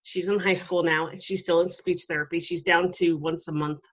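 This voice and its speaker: A middle-aged woman hosting an interview, talking in a relaxed, reflective way with lots of ums and you-knows. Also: on the low side, moderate pace, breathy edge. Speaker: podcast host. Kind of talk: conversational interview. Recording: dull lo-fi audio.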